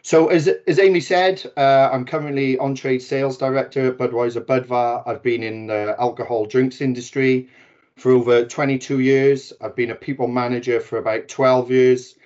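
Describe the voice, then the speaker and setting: A Welsh man in his forties speaks as a guest on a video call, his voice sitting at an ordinary middle pitch. He talks in a chatty, self-deprecating way with frequent restarts. The audio is dull and lo-fi. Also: fairly even intonation, moderate pace, darkish tone. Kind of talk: webinar talk.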